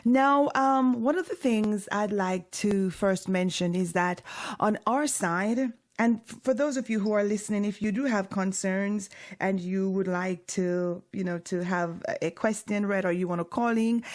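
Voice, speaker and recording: Lady hosting a radio call-in, lots of ums and restarts, middle pitch. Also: darkish tone. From Jamaica. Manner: hesitant, with many fillers and restarts. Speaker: radio show host.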